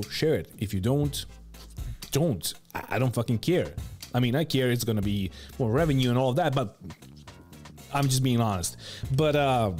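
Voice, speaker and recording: A man's voice: medium pitch, a little mellow. A man in his thirties giving opinionated casual commentary in a blunt, emphatic way, close to the microphone. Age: 30s. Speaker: video commentator. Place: home studio room. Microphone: close boom microphone with headphones.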